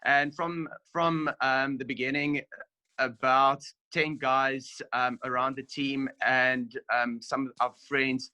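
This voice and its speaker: A young man with a South African accent talks loosely on a video call, his voice bright and forward. He speaks in easy, friendly runs, filling gaps with ums. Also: mid-range voice, flat delivery, takes it slowly, muffled recording. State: just finished a gym session. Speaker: rugby player and sausage company founder. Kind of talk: casual video-call chat.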